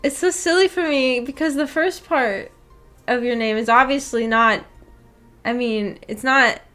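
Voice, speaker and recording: A woman with a fairly high voice hosts a casual livestream. She rambles in a giggly, self-deprecating way as she reads and answers comments from viewers. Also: unhurried, a bit bright, faint music behind. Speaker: livestream host of a visual novel book club.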